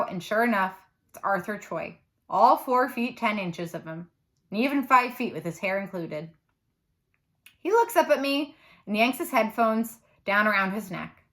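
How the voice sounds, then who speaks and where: Bright, animated woman reading a story aloud. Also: fairly high pitch. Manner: animated, dramatic emphasis. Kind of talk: expressive read-aloud of a children's novel.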